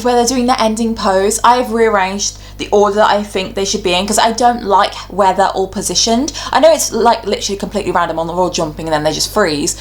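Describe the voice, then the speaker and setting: A young adult woman giving casual, opinionated video commentary, talking chattily and explaining her reasoning point by point. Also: medium pitch. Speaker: YouTube host giving ranked commentary.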